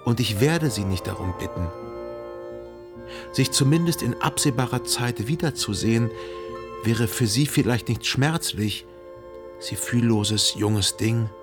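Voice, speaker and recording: An actor playing a melancholy, self-deceiving gentleman, a jilted lover narrating a literary radio drama in a measured, formal and carefully articulated way. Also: mid-range voice, natural voice. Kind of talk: literary radio play narration, reading a letter.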